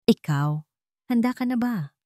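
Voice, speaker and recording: Female narrator as steadfast young heroine, audiobook drama, earnest, calm, lively intonation, close dry sound. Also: on the high side, measured pace, no room sound, pitched above the performer's own voice. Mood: fond. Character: resolute young woman survivor turned shelter founder.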